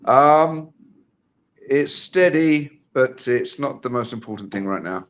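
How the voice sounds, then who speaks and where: A man chatting as a guest on a football watch-along over a phone call, halting and restarting often. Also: medium pitch, expressive. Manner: halting, with many fillers and restarts. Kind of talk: casual chat over video call.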